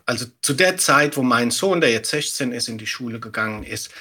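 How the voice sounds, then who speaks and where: A man's voice: medium pitch, lively intonation, fairly quick, sharp tone, slightly rough. A middle-aged man giving reflective, explanatory answers as an expert guest in a conversational interview.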